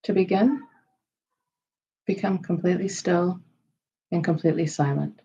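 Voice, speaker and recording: A woman leading a guided meditation in a slow, soothing style, with measured, unhurried phrases and pauses. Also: lowish voice, dark tone, breathy edge, muffled recording.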